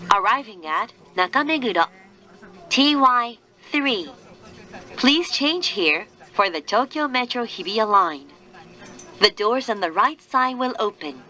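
A woman reading scripted train announcements in a formal, measured, carefully enunciated manner. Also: fairly high pitch, lively intonation, bright, forceful tone. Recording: train announcement with faint vehicle noise. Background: moving train noise.

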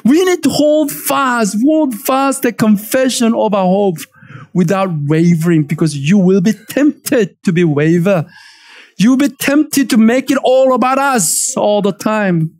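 A man's voice: high voice, unhurried. Korean-accented man preaching animatedly. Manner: animated, emphatic preaching with call-and-response. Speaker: pastor. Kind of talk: sermon. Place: church stage, indoors.

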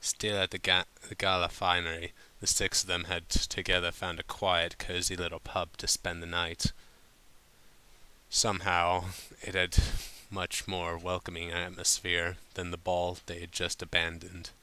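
A man's voice: low voice, completely flat delivery, normal speed, bright, forceful tone, smooth, low-quality recording. A man reading a story aloud informally, sometimes stumbling over his words and breaking off to comment. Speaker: fan fiction reader.

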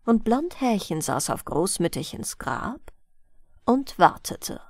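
A woman reads a bedtime fairy tale at an unhurried pace, her high voice rising and falling in a lively, expressive way. The sound is close and completely dry, like a studio mic. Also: no room sound. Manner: gentle, expressive storytelling. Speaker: audiobook narrator.

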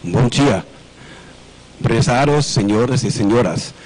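A middle-aged man from Germany with a German accent, giving a formal conference lecture in a deliberate, courteous way into a handheld microphone. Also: medium pitch, a little mellow, rough recording. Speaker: guest lecturer, gerontologist. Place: theatre stage.

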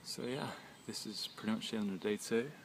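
A young man in his twenties chatting casually into a phone outdoors after a workout, relaxed and laughing now and then. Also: lowish voice, a little fast, vocal fry. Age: 20s. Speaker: fitness vlogger. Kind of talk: casual vlog chat. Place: outdoors in a wooded park. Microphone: phone held close with earphone mic. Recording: phone recording outdoors with faint nature noise. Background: faint birds and outdoor ambience.

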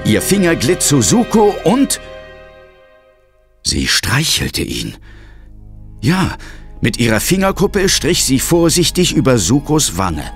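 A man's voice: fairly high pitch, wildly swooping pitch, slow pace, long pauses, heavily acted. An actor playing an intense ghost hunter who narrates his own peril in a horror audio drama. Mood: suspenseful. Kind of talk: scripted first-person horror narration. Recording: dramatic music underneath.

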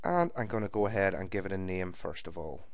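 Guy with a lowish voice doing a step by step software tutorial, dry close mic. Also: a little fast, gravelly, no echo. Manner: steady, methodical step-by-step explanation. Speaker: software trainer. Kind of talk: screen-recorded software tutorial. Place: voice-over recorded for a screen capture.

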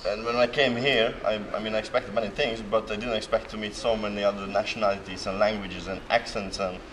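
A man's voice: fairly low pitch, little pitch movement, fairly quick, low-quality recording. A man from Slovakia, a native Hungarian speaker, talking hesitantly with many fillers at a conference. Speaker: conference attendee. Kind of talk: casual conference testimonial. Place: large hotel hall at a conference. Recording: crowd chatter behind.

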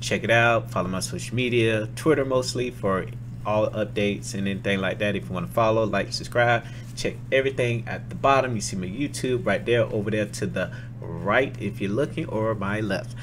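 A young man streamer reacting casually to a game trailer, excitable and slangy, repeating himself, on a desk mic at home. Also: on the low side, moderate pace, noisy background. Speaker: gaming streamer.

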